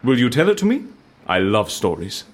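An actor playing a feisty, practical friend in an audio drama, brisk and argumentative. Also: male voice, mid-range voice, very animated. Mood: curious. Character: feisty, practical friend urging defiance of fate.

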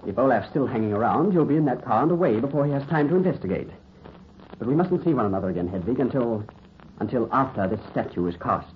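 Man playing a suave, animated scheming gentleman. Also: mid-range voice, fast talker, natural voice. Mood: cool, scheming. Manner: smooth, mocking, cultivated. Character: suave, bored, cruelly scheming gentleman sculptor. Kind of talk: 1930s radio acting. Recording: muffled, hissy 1930s radio recording.

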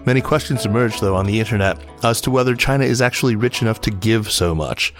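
A man's voice: on the low side, fast, faint music behind. A man hosting a podcast, reading a scripted business news roundup. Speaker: podcast host.

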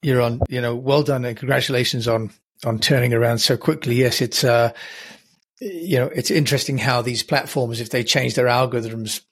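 A male podcast host introducing his guest and asking measured questions, with frequent "you know" fillers. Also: medium pitch, fairly even intonation, slight background noise. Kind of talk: interview hosting.

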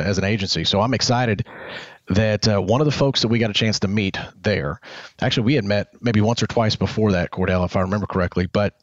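A man's voice: fairly low pitch, no echo, muffled recording. A man hosting an interview podcast, talking casually and rambling, often repeating words and adding folksy asides. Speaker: podcast host.

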